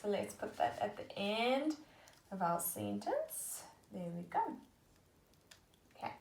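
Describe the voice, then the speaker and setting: Patient female teacher for a kids' lesson, slow encouraging delivery, roomy sound. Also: mid-range voice, very animated, vocal fry, a little mellow, a little hiss or hum. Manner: encouraging, praising, sounds words out slowly. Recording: echoey room.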